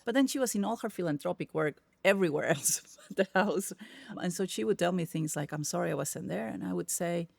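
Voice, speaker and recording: A middle-aged woman with a Spanish accent, Spanish being her first language, tells stories with emphatic, lively energy. Her voice carries a slightly gravelly texture. The sound is dry and close. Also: lowish voice, brisk, few pauses, no room sound. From Peru. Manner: animated, emphatic storyteller. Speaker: podcast guest, tech executive. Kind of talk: casual interview conversation.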